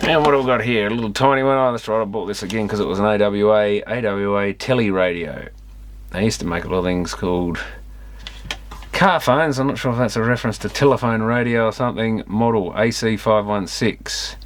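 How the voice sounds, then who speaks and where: Male, lowish voice, casual hands-on vlogger muttering as he tinkers; noisy camera-mic audio with clicks. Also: brisk. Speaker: electronics repair vlogger. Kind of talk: casual off-the-cuff commentary while inspecting gear. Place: electronics repair workshop.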